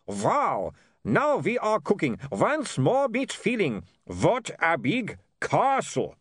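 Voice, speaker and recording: Excitable, high-pitched vampire. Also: male voice, slow, breathy edge, no echo, studio quality, exaggerated voice. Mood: gleeful. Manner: exclamatory, exuberant. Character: excitable male vampire, gleefully theatrical.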